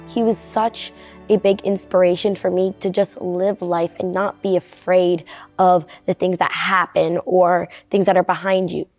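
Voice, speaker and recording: A woman's voice: lowish voice, flat delivery, measured pace, a little mellow, soft background music. A teenage girl student speaking earnestly in a documentary interview. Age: teen.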